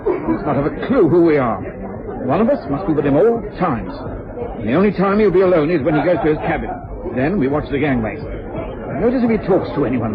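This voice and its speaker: A dull old radio drama recording with crowd murmur features an officious English police inspector. He is an earnest man with a fairly high voice, used to giving orders. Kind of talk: British radio drama acting.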